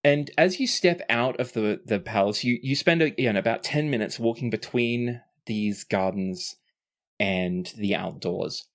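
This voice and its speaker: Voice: mid-range voice, unhurried. A game master narrating a tabletop role-playing game in a casual, sweary way.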